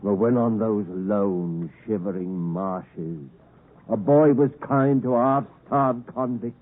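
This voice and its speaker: An actor playing a rough, doting old escaped convict in a 1950s radio drama, with a husky, sentimental delivery. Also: male voice, on the low side. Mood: mournful. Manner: sentimental, drawn-out fond remarks. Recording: muffled 1950s radio broadcast.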